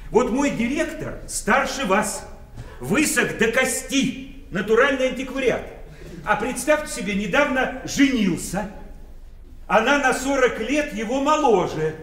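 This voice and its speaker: High-pitched, glib, coaxing son-in-law. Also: male voice, full character voice. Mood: teasing. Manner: coaxing, chatty, flattering, peppered with rhetorical questions. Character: jovial, pushy, glib son-in-law scheming to matchmake. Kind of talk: Soviet-era radio play acting. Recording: roomy, distant, faintly noisy radio play.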